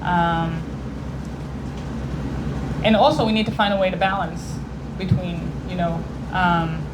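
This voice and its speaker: A woman's voice: lowish voice, fairly even intonation. Earnest woman speaker from Israel. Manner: earnest, pausing often to search for words. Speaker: guest speaker. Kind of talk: public talk and Q&A. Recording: noisy room recording.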